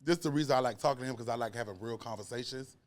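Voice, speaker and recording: A man's voice: medium pitch, rapid-fire. A man in his twenties, a podcast guest talking casually in an earnest, emphatic way. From United States. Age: 20s. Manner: earnest, emphatic, animated storytelling. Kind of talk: casual conversation.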